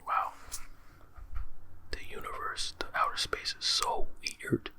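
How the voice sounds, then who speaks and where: A man's voice: slow, sharp tone. A man whispering space facts for an ASMR video, slangy and playful, reacting with amazement and repeating words. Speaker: ASMR creator. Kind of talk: whispered ASMR fact telling.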